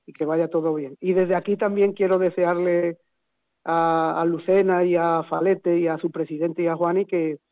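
A man's voice: fairly high pitch, little pitch movement, moderate pace. A man, a football coach speaking by phone, hesitant and repeating words. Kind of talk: phone interview. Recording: tinny phone line.

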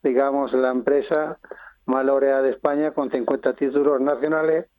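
An older man answers radio interview questions, pausing often and restarting his sentences. He is at an ordinary pitch. His voice comes through a thin, phone-like line with no room sound. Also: little pitch movement, no echo. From Spain (Madrid). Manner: halting, with many pauses and restarts. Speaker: interview guest, businessman.

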